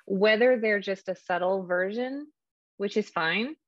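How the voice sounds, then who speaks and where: A woman's voice: mid-range voice, measured pace, no echo, muffled recording. A woman talking casually in an interview about casting audiobook narrators, thinking aloud with fillers.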